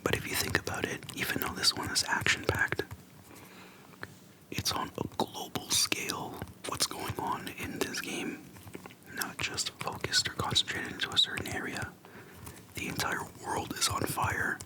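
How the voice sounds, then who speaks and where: A person whispering softly into a close microphone in a casual, slangy, unhurried ASMR chat, pausing often between phrases. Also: rough recording. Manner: soft whispering, unhurried with pauses; informal slangy speech. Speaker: ASMR video creator. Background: soft tapping and clicking.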